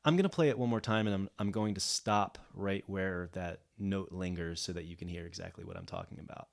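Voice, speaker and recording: Guy with a deep voice doing a mixing tutorial, kinda hesitant, rewording stuff as he goes. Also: lively intonation, brisk, gravelly, faint music behind. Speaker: music producer giving a mixing tutorial. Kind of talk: explanatory software tutorial, unscripted. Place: indoors, voice-over of a screen recording.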